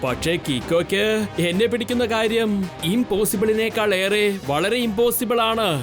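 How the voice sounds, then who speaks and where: A man's voice: sits high, normal speed, natural voice. A man voicing a boastful, greedy male cartoon villain who gloats and mocks, in a dubbed cartoon with music behind. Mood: gloating. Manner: gloating, mocking laughter. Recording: studio dub over cartoon music.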